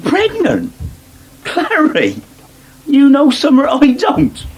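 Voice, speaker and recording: An actor playing a teasing, wily farm lad in a radio soap. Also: male voice, extremely high pitch, wildly swooping pitch, takes it slowly, exaggerated voice, rough recording. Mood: surprised, curious. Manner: teasing, sly, needling. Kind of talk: British radio soap acting.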